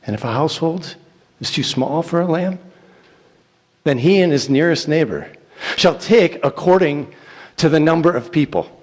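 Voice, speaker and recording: A man giving a solemn, declamatory dramatic reading of scripture in deliberate phrases. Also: a little high, expressive. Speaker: church reader reciting scripture. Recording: echoey hall with long reverb.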